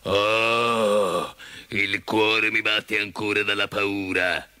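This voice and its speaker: A man voicing a big, gentle cowardly lion in a storybook, with a slow, sighing, mournful drawl. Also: fairly low pitch, little pitch movement, smooth, dry recording, heavily acted. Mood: fearful.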